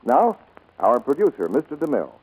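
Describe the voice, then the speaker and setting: A man's voice: mid-range voice, wildly swooping pitch, brisk, darkish tone, husky, poor audio. A man announcing and reading scripted sponsor messages in the style of 1940s radio. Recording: vintage 1940s radio recording.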